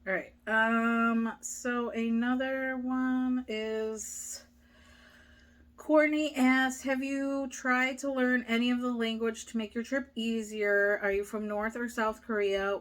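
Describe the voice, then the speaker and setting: A woman's voice: a little high, flat delivery, takes it slowly, a bit bright. A young woman vlogger chatting casually, reading viewer questions aloud and answering with many fillers. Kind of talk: casual chat.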